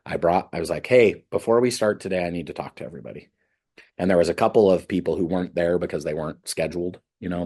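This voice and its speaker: A man who is a pastor teaching a ministry class, telling candid personal stories in a lively way. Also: sits low, slightly rough. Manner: candid, animated storytelling, voice jumps up when excited.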